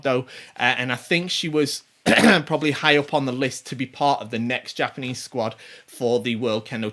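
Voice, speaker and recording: A man in his forties talks loosely through a list of names as a show host, his voice at an ordinary middle pitch. He rambles, circles back with plenty of ums and false starts, and chuckles to himself now and then. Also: fairly bright tone. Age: 40s. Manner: rambling, many ums and restarts. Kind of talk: casual commentary on tournament players.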